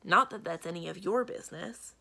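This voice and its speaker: A woman voicing a headstrong, capable young princess in a storybook, brisk and self-assured, quick to retort. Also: on the low side, vocal fry, sharp tone, full character voice. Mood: impatient, snappy. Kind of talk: storybook read-aloud.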